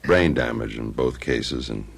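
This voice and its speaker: An actor playing a grave, sombre man in a radio drama, speaking in a heavy, halting way. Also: extremely low pitch, vocal fry, breathy edge, full character voice. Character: grave, sombre man bearing news of deaths. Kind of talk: radio mystery drama acting.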